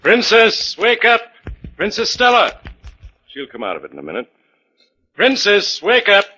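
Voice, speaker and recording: A man playing a slick, domineering séance swindler, insistent and smooth-talking, in 1940s radio style. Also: high voice, wildly swooping pitch, a little slow, sharp tone, full character voice. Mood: reassuring. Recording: dull old 1940s radio recording.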